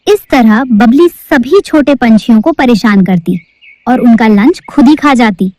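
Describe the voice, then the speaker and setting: A woman's voice: on the high side, expressive, a little slow, darkish tone, no echo. A woman narrating a cartoon story in a storybook-telling manner.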